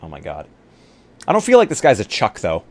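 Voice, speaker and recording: A man's voice: on the low side, huge pitch swings, brisk. A man chatting casually with stream viewers and a co-host in an easygoing, informal, joking way. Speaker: live-stream roleplay player.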